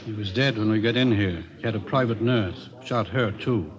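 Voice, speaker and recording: An actor playing a terse doctor who speaks in short clipped phrases in an old radio crime drama. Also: male voice, fairly low pitch, moderate pace, natural voice. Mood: grim. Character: terse male doctor reporting casualties to police. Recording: muffled, hissy old radio recording.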